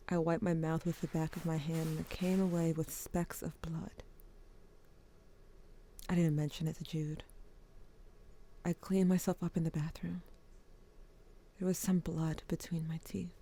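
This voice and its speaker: A woman's voice: low-pitched, mellow. A woman acting as a young narrator recounting family memories in an intimate, wry, subdued and conversational way, in a scripted audio drama.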